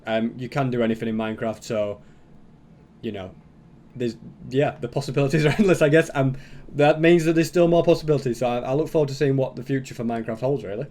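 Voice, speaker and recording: A man's voice: medium pitch, fairly even intonation, quick pace, mellow. Man rambling through casual reaction commentary. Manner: rambling, thinking aloud. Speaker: YouTuber host reacting to a video.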